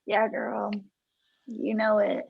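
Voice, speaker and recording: Husky, creaky, sassy woman. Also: medium pitch, completely flat delivery, slow, narrow, tinny audio, heavily acted. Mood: sassy, playful. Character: sassy, fun-loving female coworker. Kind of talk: comedy web series acting.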